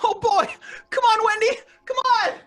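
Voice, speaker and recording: A woman voicing a bratty young boy in an audio drama, shrill and wailing, swinging between tantrums and excited thanks. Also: unhurried, faint music behind.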